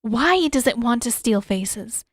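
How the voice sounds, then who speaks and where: A woman's voice: on the high side, lively intonation, slow, no echo, clean studio sound. A woman voicing an earnest, impatient teenage girl who gets flustered and questions urgently in an audiobook-style fan story.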